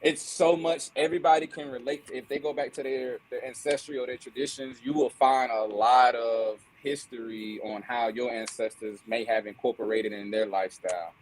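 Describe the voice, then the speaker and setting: Earnest Lowcountry man on a video call. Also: fairly even intonation, normal speed, hardly any pauses, a little hiss or hum, rough recording. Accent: Gullah Geechee, South Carolina Lowcountry. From South Carolina sea islands. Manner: earnest, repeats and restarts words. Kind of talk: casual group chat on a call.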